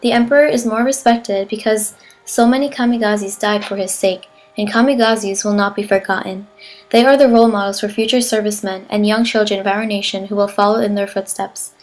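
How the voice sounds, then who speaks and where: A woman's voice: mid-range voice, steady tone, a little fast, lightly acted. A woman playing an earnest, patriotic wartime newsreel narrator, reading a formal script in a declarative way. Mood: earnest, solemn. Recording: music behind the voice. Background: music.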